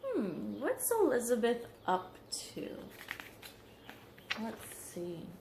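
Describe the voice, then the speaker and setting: Lady teacher doing storytime for little kids, slightly high voice, echoey room, slow and simple. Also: huge pitch swings, vocal fry, darkish tone, slight background noise. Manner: simple explanations, checks in with young listeners. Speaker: teacher reading to young pupils. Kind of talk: read-aloud storytelling with questions to children. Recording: roomy, echoing home recording.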